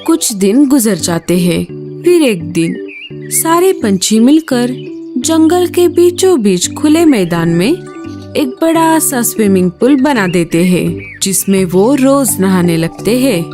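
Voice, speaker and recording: धीमी, उतार-चढ़ाव भरी आवाज़ वाली महिला कथावाचक. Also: female voice, on the high side, very animated, slow, over music. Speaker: storyteller. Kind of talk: scripted children's story narration.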